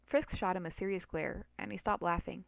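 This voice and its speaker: A woman's voice: mid-range voice, fairly even intonation, fairly quick, creaky, no room sound. Woman narrating a story, dry recording. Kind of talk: scripted story narration, dramatic reading.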